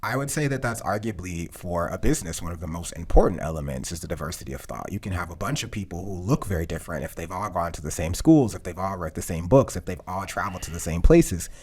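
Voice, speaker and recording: Male podcast host, deep and husky, animated delivery, casual back-and-forth. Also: quick pace, few pauses. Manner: frequent short agreeing backchannels.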